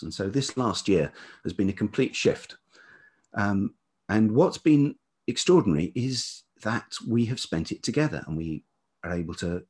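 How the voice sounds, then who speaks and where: A man speaking as an interview guest in reflective, casual conversation, halting thoughtfully as he searches for words. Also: low-pitched, a little mellow, slightly rough. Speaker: interview guest, writer and musician.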